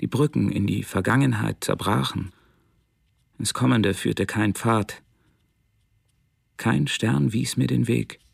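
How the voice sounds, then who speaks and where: An actor reading as a brooding young man just called up, slow and reflective. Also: lowish voice, creaky, slightly rough, dry recording, exaggerated voice. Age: young adult.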